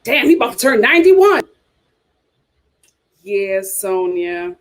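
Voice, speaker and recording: A woman hosting a casual live chat show, reacting to comments in an animated, gossipy, blunt way and repeating phrases for emphasis. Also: mid-range voice, takes it slowly. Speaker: host of a reality TV chat show.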